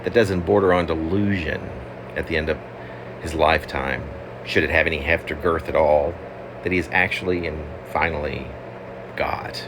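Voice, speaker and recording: A man reading his own poem as a wry, halting, digressive literary monologue. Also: very deep voice. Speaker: poet reading own work. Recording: clearly noisy, steady background hum.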